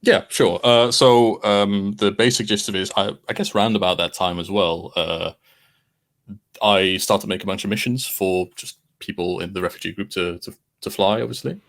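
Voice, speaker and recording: A man chatting as a podcast guest, laid-back and self-deprecating, often trailing off quietly. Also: low-pitched, fairly even intonation, normal speed, gravelly. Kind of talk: casual chat.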